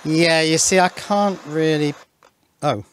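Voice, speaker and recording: A man's voice: a little high, slow pace, slight background noise. A man giving casual workbench commentary as an electronics hobbyist, thinking aloud and questioning himself as he goes. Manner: musing, self-questioning, muttering asides. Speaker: electronics hobbyist video maker. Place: indoors at a workbench.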